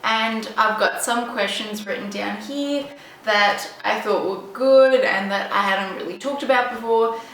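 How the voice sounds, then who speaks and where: A woman's voice: mid-range voice, fairly bright tone, slight background noise. A woman in her twenties from Estonia, chatting loosely in a Q&A vlog, full of ums and likes. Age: 20s. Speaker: vlogger. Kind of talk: casual Q&A to camera. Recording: echoey room, mic at a distance.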